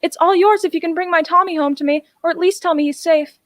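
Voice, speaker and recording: A woman's voice: very high-pitched, steady tone, fast talker, dry recording, studio quality. A woman playing an anxious, humble village mother in a radio drama, plain-spoken, deferential and pleading.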